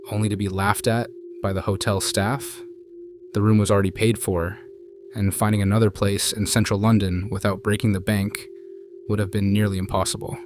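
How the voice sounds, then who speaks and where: Low-pitched guy reading creepy stories slow and hushed, bit of background hiss. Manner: calm, measured, hushed storytelling. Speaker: narrator reading viewer stories. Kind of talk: scripted horror story narration.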